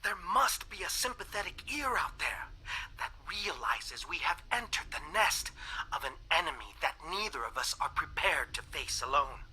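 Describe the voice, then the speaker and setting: An urgent alien scientist. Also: male voice, on the high side, very animated, normal speed, bright, forceful tone, husky, exaggerated voice, poor audio. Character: wary alien scientist, reptilian, secretive. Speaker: Voth archaeologist sending a message. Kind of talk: game dialogue.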